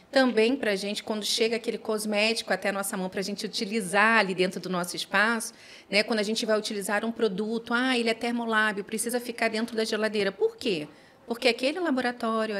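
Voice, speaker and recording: A woman in her forties speaks in a fairly high voice with a mixed Brazilian accent. She is chatty and expressive, telling stories casually as an interview guest and voicing bits of dialogue. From Rio de Janeiro and São Paulo, Brazil. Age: 40s. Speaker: podcast guest, pharmacist.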